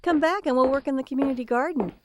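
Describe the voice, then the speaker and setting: A woman's voice: high voice, fast, a little mellow, natural voice. An actress playing a stressed mother and trucker's wife in an audio drama, by turns snappish and chatty. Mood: affectionate, upbeat. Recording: faint background noise.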